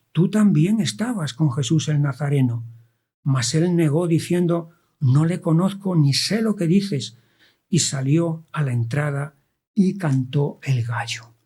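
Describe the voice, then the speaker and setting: A man with a Madrid Spanish accent preaching an expository sermon, deliberate, pausing and posing rhetorical questions as he reads scripture. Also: fairly high pitch, warm tone, breathy edge. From Spain, born in Andalusia and raised in Madrid.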